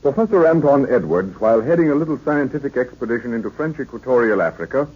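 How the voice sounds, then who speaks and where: A man's voice: medium pitch, a little mellow, breathy edge. A man narrating an old radio adventure serial in a solemn, theatrical, scripted style. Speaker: announcer and narrator. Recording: muffled old radio recording.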